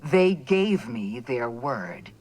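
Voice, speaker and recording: An actress playing a cold, menacing female corporate official in a video game, speaking in a measured, formal, condescending way. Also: extremely low pitch, sing-song, a little mellow, a little hiss or hum, full character voice. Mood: shaken anger. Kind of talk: dramatic video game dialogue.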